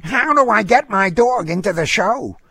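Man putting on a high-voiced, feisty housewife. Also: expressive, normal speed, gravelly, pushed and bright, husky, no room sound, full character voice. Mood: indignant. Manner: indignant, argumentative. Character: feisty, stubborn working-class housewife, Missus Harrigan.

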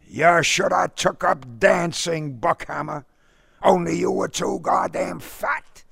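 A man voicing an enraged older father who shouts and chokes on his words. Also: high-pitched, creaky, breathy edge, no room sound, studio quality, voice pushed up, exaggerated voice.

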